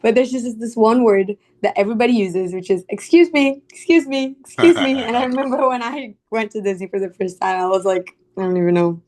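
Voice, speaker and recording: Brazilian-accented young woman, relaxed. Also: a little high, fairly quick, few pauses. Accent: Brazilian Portuguese. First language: Portuguese. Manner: relaxed, uses "like" as filler. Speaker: co-host. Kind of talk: casual teaching conversation.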